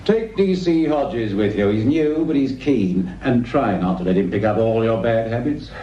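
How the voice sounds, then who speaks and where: A man's voice: medium pitch, expressive, normal speed, mellow, slight background noise, close to an everyday voice. A middle-aged man with an English accent playing a stern, disapproving police superintendent in a radio drama, curt and cutting. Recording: roomy, distant, dull radio drama recording.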